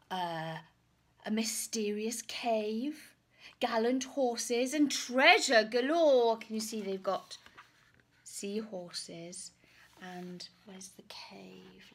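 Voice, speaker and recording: A woman in her forties reading a picture book aloud to young children, with dramatic pauses and hushed asides. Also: medium pitch. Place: small room at home, indoors. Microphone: camera or phone at about arm's length.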